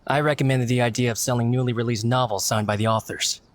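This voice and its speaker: An earnest young office man from a video game, played by an actor, with a mid-pitched voice. He explains himself politely and contritely, in measured sentences. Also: fairly even intonation, slight background noise, close to an everyday voice. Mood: apologetic, explaining. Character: earnest, apologetic young male book editor.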